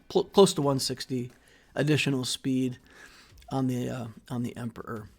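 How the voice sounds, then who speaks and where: A middle-aged man hosting his game channel, giving relaxed, casual commentary over his gameplay with plenty of fillers, recorded at his desk indoors. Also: mid-range voice, vocal fry. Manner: relaxed, steady walkthrough with frequent fillers and trailing off. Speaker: mobile game channel host. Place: small room indoors.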